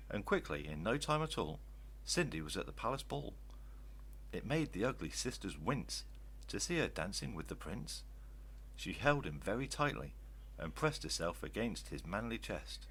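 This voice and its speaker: An older man reading rhyming children's stories aloud in an expressive, theatrical style. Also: fairly low pitch, normal speed, gravelly, breathy edge, poor audio. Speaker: children's story reader.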